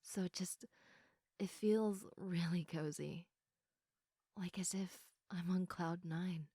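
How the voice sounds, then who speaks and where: A woman playing a sleepy, affectionate girlfriend in an intimate sleep-aid roleplay, speaking softly and reassuringly with a tendency toward giggles and whispers. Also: on the low side, husky, dry recording, clean studio sound, exaggerated voice. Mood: drowsy, affectionate. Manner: soft, unhurried, often giggly, dropping into whispers. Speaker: audio roleplay performer.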